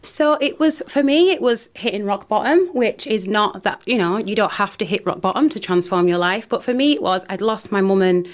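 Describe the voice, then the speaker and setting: A woman's voice: medium pitch, animated, brisk, few pauses. A woman with a Northern English accent, a radio studio guest speaking earnestly about her work, often restarting her sentences. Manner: earnest, hesitant with many restarts. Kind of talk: radio interview.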